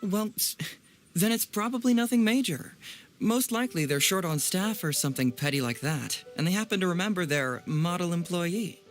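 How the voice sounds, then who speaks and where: A man's voice: high voice, expressive, moderate pace, soft background music. An actor playing a clever, playful young male detective in an anime video game, musing theatrically and teasingly. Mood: confident, playful.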